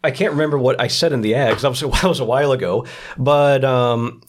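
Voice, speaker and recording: A man speaks as an interview guest in a medium-pitched voice. His talk is casual and conversational. He explains things by slipping into short quoted lines. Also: fairly quick. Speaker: podcast guest, writer and director.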